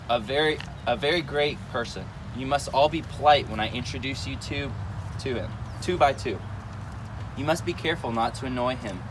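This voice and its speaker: A man's voice: mid-range voice, close to an everyday voice. Man reading as wise wizard, noisy outdoor recording. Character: wise, commanding old male wizard guide. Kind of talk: amateur readers' theater reading.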